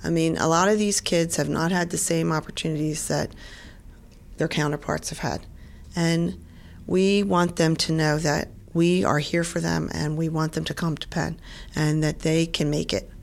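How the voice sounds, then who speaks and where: A woman with a mid-pitched, gravelly voice speaks emphatically in an interview. Her tone carries strong conviction. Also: fairly even intonation, moderate pace. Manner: emphatic, heartfelt. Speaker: admissions director. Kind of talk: interview answer.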